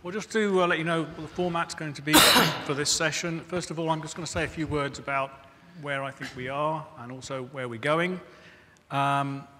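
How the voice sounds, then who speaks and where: A man moderating a conference panel, relaxed and chatty, correcting himself as he goes. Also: a little high, normal speed, noisy background. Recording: echoey conference hall.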